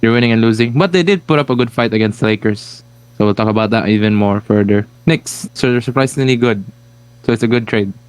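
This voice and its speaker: Man in his twenties, podcast co-host, deadpan, smooth voice, dry room. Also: lowish voice, quick pace, no echo, low-quality recording. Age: 20s. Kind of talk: casual sports podcast chat.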